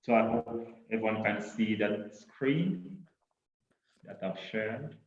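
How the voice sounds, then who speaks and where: Slow-talking Congolese man on a laptop call. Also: on the low side, expressive, slight background noise. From Democratic Republic of Congo. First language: Kiswahili and French. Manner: halting, with many repeated words and "you know". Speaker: presenter. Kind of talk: online talk. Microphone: laptop on a video call. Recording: echoey, dull laptop audio.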